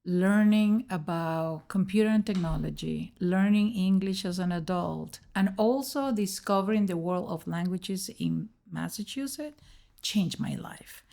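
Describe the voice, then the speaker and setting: A middle-aged Venezuelan woman speaks as an interview guest with a creaky edge to her voice. She has a Spanish accent and tells her story earnestly, in halting learned English. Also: medium pitch, warm tone. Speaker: podcast guest, educator.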